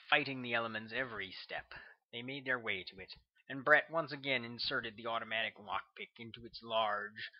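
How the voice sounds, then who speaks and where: An author reading his own novel aloud as an audiobook narrator, with steady, measured storytelling on a slightly muffled recording. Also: mid-range voice, no room sound.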